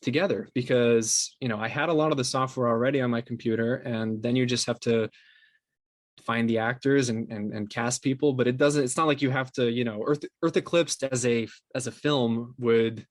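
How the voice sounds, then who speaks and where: Man, interview guest, mid-pitched voice, conversational, explanatory, repeats words, close dry recording. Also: fairly even intonation, moderate pace, no echo. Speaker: interview guest, podcast co-founder and sound designer.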